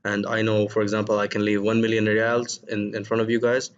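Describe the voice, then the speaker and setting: A male teacher giving a recorded vocabulary lesson to his students, gentle and encouraging, pausing often with ums and repeated words. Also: on the low side, completely flat delivery, highs cut off.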